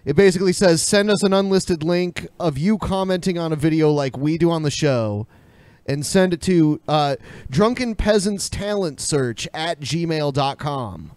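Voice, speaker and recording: A man's voice: fairly high pitch, measured pace, darkish tone, clear, resonant tone, dry recording. A man co-hosting a podcast, chatting casually in an informal, rambling way. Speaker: podcast co-host.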